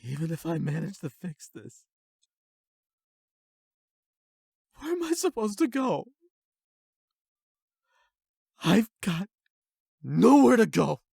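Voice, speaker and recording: A man's voice: sits high, very animated, moderate pace, creaky, a little mellow, husky, dry recording, clean studio sound, heavily acted. A man playing an angry, hurt young delinquent, defiant and sarcastic, in an emotional anime-style audio drama. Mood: despairing. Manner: sweary, sarcastic, confrontational.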